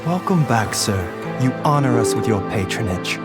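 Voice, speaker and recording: A man's voice: mid-range voice, lively intonation, moderate pace, lightly acted. A man voicing a polite, deferential restaurant attendant in a video game, speaking in a courteous, formal way. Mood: polite, welcoming. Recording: loud background music.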